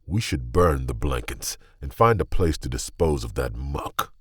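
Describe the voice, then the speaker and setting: A stern male knight acted by a man in a fantasy audio drama. His voice is extremely low and swings widely in pitch as he speaks in terse commands. The sound is close and dry. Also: animated, unhurried, husky, no echo, exaggerated voice. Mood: terse, commanding.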